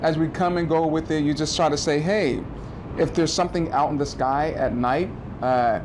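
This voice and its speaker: A man's voice: a little high, moderate pace, background noise. A man in his thirties giving a casual guided tour of an observatory, explaining equipment in a friendly, chatty way with small restarts. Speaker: planetarium manager giving a tour. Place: rooftop observatory outdoors, then indoor exhibit room.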